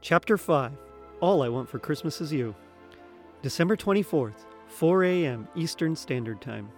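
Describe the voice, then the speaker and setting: A man with a somewhat high voice narrates a written story as a guest reader. Soft music plays behind his scripted delivery. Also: animated, moderate pace.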